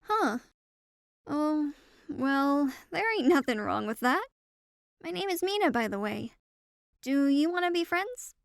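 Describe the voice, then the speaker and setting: A woman's voice: high voice, very animated, measured pace, gravelly, pauses often, dry recording, studio quality, full character voice. A woman voicing a spunky, friendly and teasing young goat girl in an audio drama, perky and chatty. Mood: awkward, friendly.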